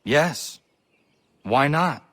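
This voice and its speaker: An actor playing an earnest, determined young assassin apprentice in a video game, solemn and formal in manner. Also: male voice, fairly high pitch, animated, very slow, a bit bright, dry recording, full character voice. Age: young adult. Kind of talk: dramatic game acting and narration.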